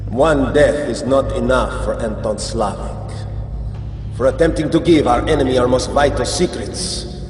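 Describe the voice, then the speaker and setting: Man acting a slow, menacing general, echoing hall. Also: mid-range voice, a little mellow, natural voice. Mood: cold menace. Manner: solemn, deliberate, grandiose. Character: male militant cult general, menacing, vengeful and theatrical. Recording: echoing hall with music behind.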